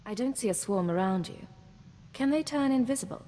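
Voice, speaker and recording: A woman's voice: a little high, animated, brisk, mellow, big-room sound, close to an everyday voice. An actress voicing a composed, direct female imperial secret agent in a video game. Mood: composed, questioning. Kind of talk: scripted video game voice acting.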